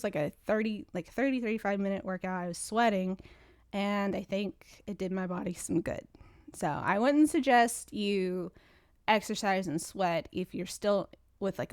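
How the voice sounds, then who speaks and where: A woman's voice: fairly low pitch, a little mellow, no room sound, clean studio sound. A woman hosting her own podcast in casual, rambling chat, sounding stuffy and congested. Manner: rambling, chatty, frequent restarts. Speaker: podcast host.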